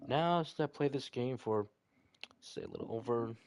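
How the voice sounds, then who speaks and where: A man hosting a gaming video, reading story text aloud in a halting way and commenting casually. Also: medium pitch, no echo. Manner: halting, stumbling read-aloud. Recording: music behind the voice. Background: loud game music.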